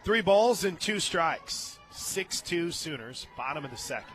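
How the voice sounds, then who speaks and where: An American man doing live play-by-play radio commentary on softball, chatting between the calls. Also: on the high side, expressive, measured pace, over music, rough recording. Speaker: play-by-play announcer. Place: outdoor stadium radio booth.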